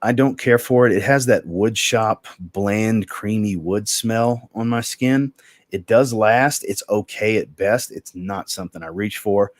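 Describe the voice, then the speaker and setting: A man with a lowish voice chats easily on a livestream, hosting over a video call. He talks in a relaxed way, reads viewer comments aloud, and keeps the chat going. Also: measured pace, dry recording. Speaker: podcast host.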